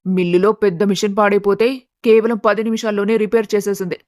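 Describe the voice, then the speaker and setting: A woman's voice: mid-range voice, little pitch movement, fast, a little mellow, dry recording, studio quality, close to an everyday voice. A woman voicing a scheming, greedy middle-aged aunt who talks insistently in a family story. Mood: eager. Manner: insistent, calculating.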